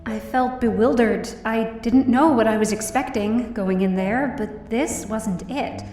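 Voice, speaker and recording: An actress voices a worried daughter telling her story, reflective and subdued, in a fairly high voice. It is a scripted audio drama, heard in a roomy space a bit away from the mic. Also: unhurried, a little mellow, natural voice. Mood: uneasy, subdued. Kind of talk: first-person scripted narration in audio drama. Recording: roomy, distant mic, faint music behind.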